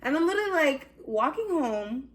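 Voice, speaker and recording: A young woman hosting a casual chat, telling lively stories with a loud voice and frequent laughs. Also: high voice, moderate pace, poor audio. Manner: animated storyteller, laughs often, loud voice. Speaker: host.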